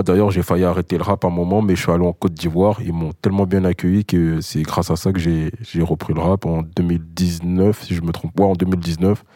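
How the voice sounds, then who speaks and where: A man's voice: sits low, mellow, no echo, clean studio sound. A young man from Congo, a rapper, answering interview questions in a laid-back, rambling way. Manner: laid-back, filler-heavy. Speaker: rapper guest.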